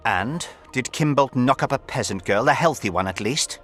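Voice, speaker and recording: A scheming aristocratic count, acted by a man, medium in pitch with lively swoops. His speech is formal, measured and insinuating, set over faint game music. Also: natural voice. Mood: intrigued. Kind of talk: video game voice acting.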